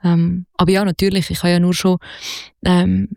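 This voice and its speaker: A woman's voice: on the low side, little pitch movement, normal speed, darkish tone, dry recording, clean studio sound. A young woman from Zurich speaking with a Zurich Swiss German accent, a singer-songwriter talking casually in an interview, hesitant with many fillers and restarts. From Zurich, Switzerland.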